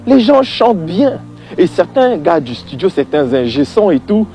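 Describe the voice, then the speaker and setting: A man's voice: high voice, mellow. A man giving an informal, emphatic opinion monologue to viewers, conversational and prone to repeating his phrases for weight.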